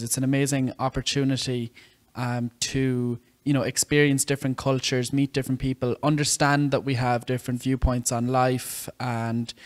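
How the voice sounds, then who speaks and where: A young man in his twenties from the southeast of Ireland with an Irish accent, a veterinary student giving earnest, thoughtful interview answers. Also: medium pitch. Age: 20s.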